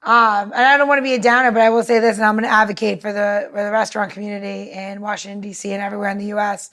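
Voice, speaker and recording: A woman's voice: mid-range voice, flat delivery, rapid-fire, fairly bright tone. A woman co-hosting a podcast in casual conversation, talking in an earnest, rambling way. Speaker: podcast co-host.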